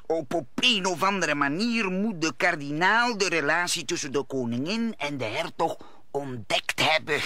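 An actor playing a fretful landlord and husband in a radio play, nervous and halting, stammering as he speaks. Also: sits high, sharp tone, no echo, full character voice. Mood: conspiratorial. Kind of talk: Dutch radio play acting.

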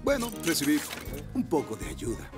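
A man voicing a steady, reassuring father rescue motorcycle in a cartoon dub. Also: fairly high pitch, moderate pace, over music. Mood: calm, reassuring.

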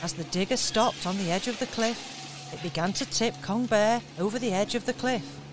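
A woman's voice: mid-range voice, moderate pace. Woman narrating a radio pantomime. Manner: steady storytelling. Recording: radio recording with faint noise.

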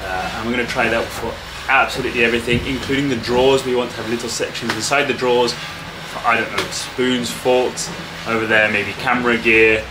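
A man in his thirties casually explaining his boat restoration to a handheld camera, relaxed and full of little fillers. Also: mid-range voice, brisk, background noise. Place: open-sided wooden workshop. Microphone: handheld camera at arm's length.

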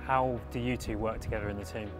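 A man's voice: fairly low pitch, fairly even intonation, fast. A man working as a club media interviewer, putting brief, friendly questions to young players. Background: background music.

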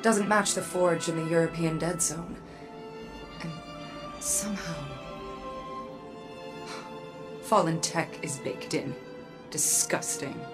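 An actress playing a proud, guarded robot armorer in a video game, speaking in a measured, cool, disdainful manner. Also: female voice, sits low. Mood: disgusted. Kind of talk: video game character acting. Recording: game music under the voice.

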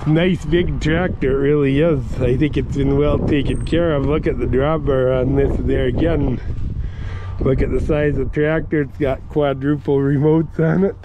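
A man's voice: medium pitch, fairly even intonation, normal speed, muffled recording. Folksy man touring an outdoor auction yard. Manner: folksy, plainspoken, fond of old machinery. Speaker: farm equipment vlogger. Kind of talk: casual walkaround commentary. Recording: noisy outdoor camera recording.

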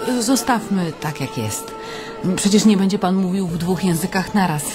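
Radio drama actress: female interviewer, attentive, courteous and inquisitive, medium pitch, music clearly underneath. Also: normal speed, lightly acted. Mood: politely curious. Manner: polite, inquisitive. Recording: studio radio play over music.

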